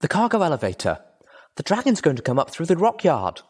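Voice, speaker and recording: Storybook heroine: young mare, pitched up, breathless and urgent, worn out yet determined , performed by a male reader. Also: wildly swooping pitch, brisk, darkish tone, breathy edge, full character voice. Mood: alarmed. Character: young female pony, exhausted, hurt, determined.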